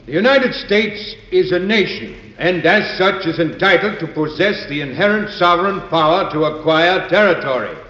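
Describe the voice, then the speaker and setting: Impassioned senator, higher-pitched declamatory oratory, muffled vintage radio drama sound. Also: male voice, expressive, moderate pace, few pauses, sharp tone. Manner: declamatory, emphatic, formal. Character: impassioned male senator orating for a treaty. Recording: echoey hall, muffled old radio recording.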